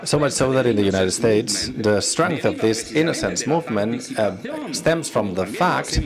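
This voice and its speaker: A man giving a live interpretation of an academic conference talk, speaking haltingly phrase by phrase and often rephrasing. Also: mid-range voice, expressive, music in the background.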